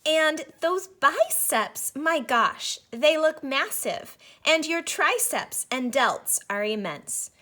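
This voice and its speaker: A woman's voice: high-pitched, expressive, slow pace, few pauses, pushed and bright, pitched above the performer's own voice, exaggerated voice. A woman voicing a plucky young storybook girl who exclaims in wide-eyed suspicion. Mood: astonished, suspicious. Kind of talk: storybook character voice.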